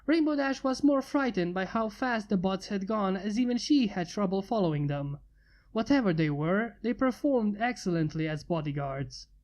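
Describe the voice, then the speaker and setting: A woman reading fan fiction aloud with steady, even narration. Her voice is mellow and warm, pitched in the middle. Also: moderate pace. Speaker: fanfiction reader.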